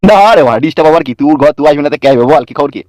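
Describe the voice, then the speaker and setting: A man's voice: fairly high pitch, very fast, darkish tone, dry recording, natural voice. A man voicing a doting, touchy young newlywed husband in a comedy cartoon. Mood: welcoming.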